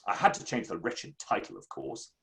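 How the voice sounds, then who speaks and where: A man's voice: low voice, very animated, moderate pace, vocal fry, fairly bright tone, full character voice. A man acting a vain, swooping Victorian playwright. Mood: smug, pleased. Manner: pompous, theatrical, self-justifying. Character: vain, self-satisfied Victorian playwright and novelist, male. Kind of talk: dramatic play reading. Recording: online reading from home setup.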